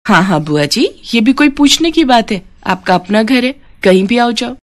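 A woman's voice: on the high side, animated, moderate pace, voice pushed up, natural voice. A woman voicing a young daughter-in-law in a cartoon story, polite but easily offended. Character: touchy young daughter-in-law, eldest of three.

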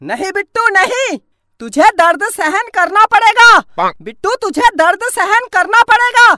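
एक महिला की आवाज़ में शेखी बघारता आलसी कार्टून लड़का. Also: female voice, very high voice, animated, measured pace, bright, forceful tone, no room sound, studio quality, exaggerated voice. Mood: wailing. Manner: whiny, boastful, wailing. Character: lazy boastful cartoon schoolboy. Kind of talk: Hindi cartoon voice acting.

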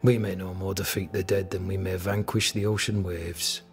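An actor playing a despairing, fatalistic fort captain in a video game, speaking in formal, doom-laden phrases with bitter grandeur. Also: male voice, deep voice, level tone, vocal fry, faint music behind. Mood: grim, doom-laden. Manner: slow, grim, doom-laden formal speech. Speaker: military officer character in a video game. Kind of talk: dramatic video game acting.

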